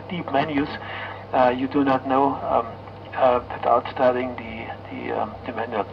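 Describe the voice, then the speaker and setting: A muffled ham radio signal with clear noise carries a middle-aged German-accented man. His voice has a gravelly creak, and he talks casually but haltingly, searching for his words. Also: mid-range voice. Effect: shortwave radio transmission. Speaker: amateur radio operator.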